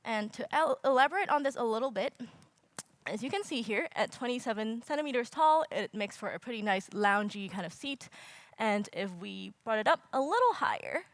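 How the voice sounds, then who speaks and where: A woman's voice: fairly high pitch, lively intonation, fairly quick, no room sound. Young woman casually presenting a design talk. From Hong Kong. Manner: casual, self-deprecating, filler-heavy explaining. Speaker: conference speaker. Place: lecture hall stage. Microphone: handheld or stage mic.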